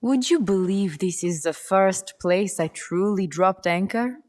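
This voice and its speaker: A woman playing a poised, cunning, self-assured game character with a measured, unhurried way of talking. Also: mid-range voice, darkish tone, natural voice. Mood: sly, inviting. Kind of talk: video game cutscene acting.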